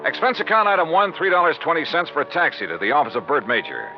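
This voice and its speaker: A man's voice: fairly high pitch, animated, quick pace, muffled recording, faint music behind. An actor playing a wry, skeptical male investigator in a clipped, sardonic 1950s hardboiled radio style. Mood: brisk, dry. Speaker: lead actor and narrator. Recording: band-limited vintage radio recording.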